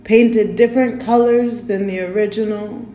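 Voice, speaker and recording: A woman leading a guided meditation in a gentle, soothing, unhurried way, softly inviting the listener to breathe. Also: medium pitch, steady tone. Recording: roomy echo with faint music.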